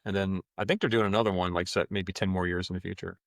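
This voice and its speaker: A man hosting a casual podcast, talking in a relaxed, rambling way and swearing now and then. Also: low voice, fast, creaky, no room sound, clean studio sound. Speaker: podcast host. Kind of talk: casual chat.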